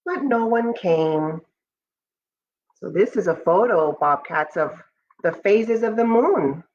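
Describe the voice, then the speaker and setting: A woman's voice: medium pitch, expressive, takes it slowly, a little mellow, highs cut off. An American woman, a school educator, reading a picture book aloud to children expressively and speaking to them directly. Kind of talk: children's read-aloud with asides to pupils.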